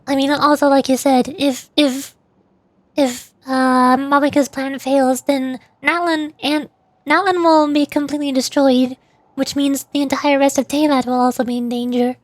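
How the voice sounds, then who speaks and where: A woman's voice: high voice, little pitch movement, normal speed, dry recording. A woman streamer giving casual, chatty, playful commentary on a game. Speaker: streamer, VTuber.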